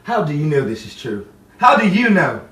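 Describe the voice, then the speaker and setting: A man's voice: a little high, very animated, fairly bright tone. Actor playing a tormented lovelorn man, roomy sound. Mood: agitated disbelief. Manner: halting, repetitive, theatrical brooding. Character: grief-stricken, lovelorn brooding man tormented by lost love. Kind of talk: 1950s-style romantic drama monologue and dialogue. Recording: roomy, distant, faintly noisy stage sound.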